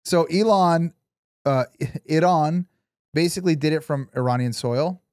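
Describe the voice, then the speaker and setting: A man's voice: fairly high pitch, normal speed, a little mellow, dry recording, clean studio sound. A man co-hosting a podcast, chatting casually and emphatically about politics with some swearing. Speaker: podcast co-host. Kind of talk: casual opinionated political chat.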